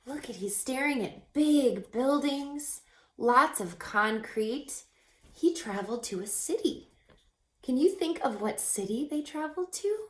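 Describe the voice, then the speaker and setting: A woman reads a picture book aloud like a teacher with young children. Her voice sits a little high and she takes her time, delivering the story expressively with pauses for questions. The sound is noticeably roomy and echoing. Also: lively intonation, fairly bright tone.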